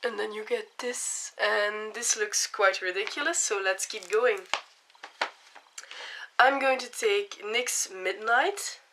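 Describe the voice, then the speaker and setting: A young woman with a cold and a hoarse voice, chatting casually as she explains each step of a makeup tutorial. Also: mid-range voice, takes it slowly, pushed and bright, slight background noise, low-quality recording.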